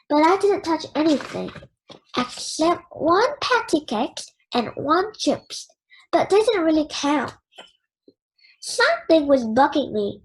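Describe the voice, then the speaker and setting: Kid reading a storybook out loud, really animated, takes it nice n slow. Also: high voice, rough recording. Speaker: children's book reader. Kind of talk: expressive read-aloud storytelling.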